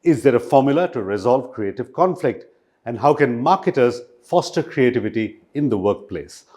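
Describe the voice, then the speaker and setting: A man with an Indian accent hosting a podcast, reading a scripted introduction and asking measured, articulate questions. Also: mid-range voice, expressive, darkish tone. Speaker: podcast host.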